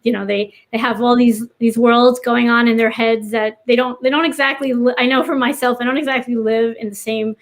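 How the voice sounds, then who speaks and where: A woman's voice: fairly high pitch, fairly even intonation, fairly quick, few pauses. A woman writer chatting as a podcast guest, earnest and rambling as she thinks aloud. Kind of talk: casual interview chat.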